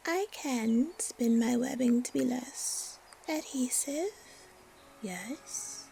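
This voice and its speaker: A woman playing a haughty, suspicious, disdainful spider monster in a fantasy audio roleplay, with a breathy, often whispery voice. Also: fairly high pitch, warm tone, slight background noise. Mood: intrigued. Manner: slow, disdainful, haggling.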